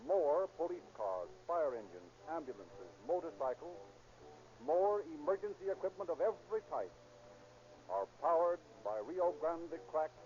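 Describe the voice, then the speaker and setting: A man's voice: a little high, dark tone, lo-fi sound. A man announcing and reading ad copy in a formal, emphatic 1930s radio salesman style, on a vintage radio recording. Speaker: narrator and announcer.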